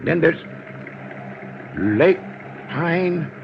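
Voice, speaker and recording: A man's voice: fairly high pitch, lively intonation, drawn-out words, gravelly, a little hiss or hum, heavily acted. An actor playing a worried, agitated small-town father searching for his daughter in a 1950s radio drama, hesitant as he talks. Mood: anxious, thinking aloud. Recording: muffled old radio recording.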